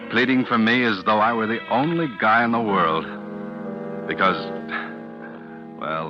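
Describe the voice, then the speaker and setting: Troubled young guy accused of murder, low voice, hesitant, muffled old radio show w music. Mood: brooding. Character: anxious, haunted young man accused of murder, narrating. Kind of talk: 1940s radio drama acting.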